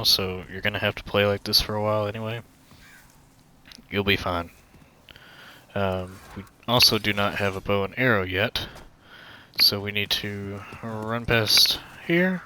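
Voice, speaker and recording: A man's voice: lowish voice, pushed and bright. Nasal man on a crackly headset. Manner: laid-back, joking, says um often. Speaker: gaming channel host. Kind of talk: casual game walkthrough commentary. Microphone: headset mic. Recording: headset mic that pops and cracks.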